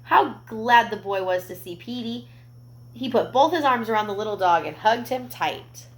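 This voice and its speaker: A woman reading a picture book aloud to young children, expressive, with gentle explanatory asides. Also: on the high side, normal speed.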